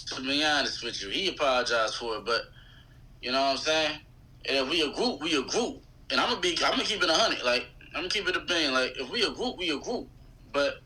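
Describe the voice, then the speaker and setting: Bright, forceful Philadelphia man chatting. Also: a little high, breathy edge, rough recording. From West Philadelphia, USA. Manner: colloquial, slangy, animated retelling with quoted dialogue. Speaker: rapper, interview guest. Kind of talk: casual chat, storytelling.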